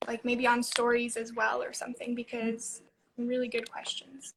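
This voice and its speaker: Easygoing woman host with a high voice. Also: completely flat delivery, moderate pace, gravelly, noisy background. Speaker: live-stream host. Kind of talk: casual live-stream chat.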